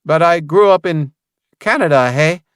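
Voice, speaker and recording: A man's voice: on the high side, a bit bright, smooth, no echo, clean studio sound, pitched above the performer's own voice, lightly acted. A man voicing a nervy British man who puts on a bad American accent in an audiobook. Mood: nervous. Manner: hurried, blurting.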